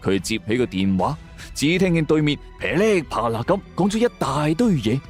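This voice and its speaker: Male storyteller, Cantonese accent, dramatic suspense narration, animated intonation, mid pitch, soft background music. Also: normal speed. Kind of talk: dramatic scripted first-person suspense narration. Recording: music bed under the voice.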